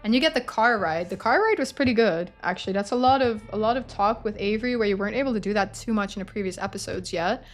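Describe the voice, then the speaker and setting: A woman's voice: medium pitch, fast talker, few pauses. This is a woman game streamer with a mid-range voice. She talks casually through gameplay and reads the story's narration aloud.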